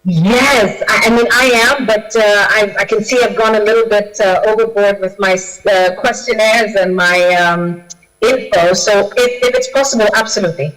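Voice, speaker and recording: A woman's voice: medium pitch, normal speed, fairly bright tone, rough recording. A woman from Kenya, a guest speaker on a video call, answering audience questions with many fillers and restarts. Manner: hesitant, with frequent fillers and restarts.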